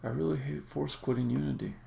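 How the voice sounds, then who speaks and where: A man doing casual live-coding commentary, thinking aloud in a halting mutter as a game developer on a stream. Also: mid-range voice, creaky, mellow. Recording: faint noise, keyboard typing and clicks. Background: computer keyboard typing and clicking.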